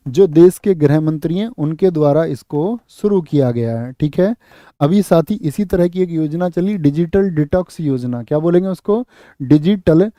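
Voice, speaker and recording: A man's voice: on the high side, dark tone, no room sound, studio quality. A man teaching current affairs for a competitive exam, asking questions and answering them himself, repeating each key fact and calling listeners "saathi". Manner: calls listeners "saathi" and "bhaiya". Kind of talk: quiz-style current affairs lesson.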